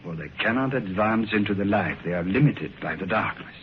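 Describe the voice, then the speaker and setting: A man playing a grave, low-voiced doctor. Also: brisk, lightly acted. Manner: calm, solemn and resigned. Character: grave male doctor, expert in the occult. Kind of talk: 1950s radio drama acting. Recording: dull old radio recording with loud hiss.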